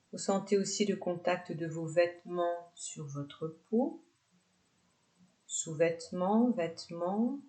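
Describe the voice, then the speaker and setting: A woman leading a guided yoga nidra relaxation, giving unhurried, soft instructions with pauses between phrases. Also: lowish voice.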